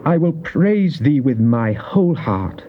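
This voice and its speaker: An actor playing a fervent psalmist, solemn and theatrical in a dramatized Bible reading. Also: male voice, mid-range voice, big pitch swings, slow. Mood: fervent praise. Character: fervent psalmist addressing God in prayer and lament. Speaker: scripture reader. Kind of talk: dramatized Bible reading, British stage delivery. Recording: muffled, noisy old cassette tape.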